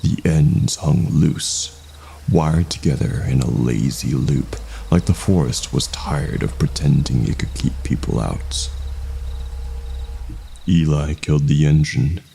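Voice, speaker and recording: Male horror narrator, extremely low and slow, with vocal fry. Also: warm tone. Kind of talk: scripted first-person horror narration.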